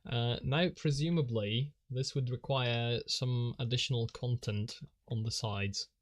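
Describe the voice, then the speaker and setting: A man's voice: medium pitch, fairly even intonation, a little slow. A man whose first language is Polish, rambling and self-mocking as he thinks aloud while drawing on a live stream, chuckling often.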